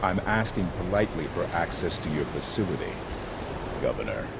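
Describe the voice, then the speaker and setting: An actor playing a stoic armoured squad leader in a video game, speaking in a curt, formal, commanding way. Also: male voice, low-pitched, sing-song, brisk, vocal fry, very noisy, heavily acted. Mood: measured, firm. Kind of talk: scripted video game acting.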